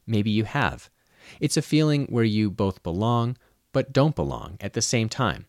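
An American-accented man speaks as the host of a podcast. His voice is on the low side, and he presents stories and talks with a guest. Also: expressive, measured pace, darkish tone, no room sound, clean studio sound. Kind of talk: scripted narration and interview questions.

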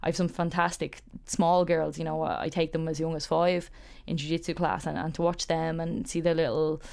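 A woman's voice: low-pitched, level tone, moderate pace, creaky, a little mellow. A woman martial arts coach, speaking earnestly and encouragingly in an interview clip. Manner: earnest, encouraging, repeats words when starting.

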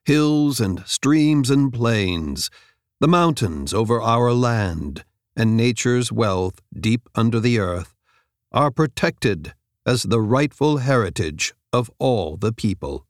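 A man reads a nonfiction audiobook in a measured, clearly enunciated way, close to the microphone. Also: mid-range voice, lively intonation, dry recording. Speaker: audiobook narrator. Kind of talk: scripted nonfiction audiobook narration. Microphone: close studio microphone.

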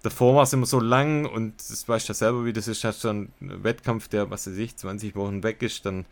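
A man's voice: fairly low pitch, steady tone, fairly quick, vocal fry. A man chatting casually as a podcast co-host, enthusiastic and colloquial with bits of English slang. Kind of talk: casual podcast chat and product review.

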